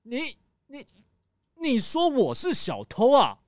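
A man's voice: very high voice, huge pitch swings, slow pace, full character voice. A man playing an indignant, scruffy shopper in a children's audio drama, defensive and sputtering. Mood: startled. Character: indignant scruffy male shopper wrongly accused of theft.

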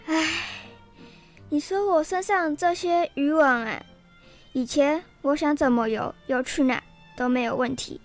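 A woman voicing a caring, weary, aggrieved goldfish in a children's radio play, sighing and expressive. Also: sits high, unhurried, soft background music. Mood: weary, sad.

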